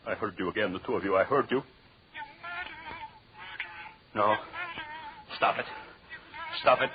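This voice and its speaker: An actor in an old radio suspense drama playing a deranged, tormented, murderous anthropologist who talks obsessively to himself in a theatrical way. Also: male voice, on the low side, a little fast, crowd noise, close to an everyday voice. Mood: frantic. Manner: theatrical, muttering to himself, repeating phrases obsessively. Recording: dull, noisy old radio recording.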